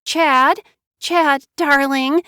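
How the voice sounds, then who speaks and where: A woman narrator voicing a manipulative young wife who pleads in a high, whiny little-girl voice through sobs. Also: expressive, takes it slowly, a bit bright, dry recording, studio quality, full character voice. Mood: tearful pleading. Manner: high little-girl whine, sobbing pleas.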